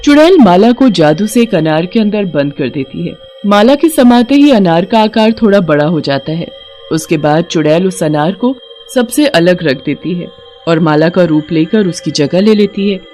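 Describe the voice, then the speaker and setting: A storyteller narrates a scripted cartoon horror tale in Hindi. The voice has a middling pitch, with music underneath. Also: lively intonation, normal speed, darkish tone.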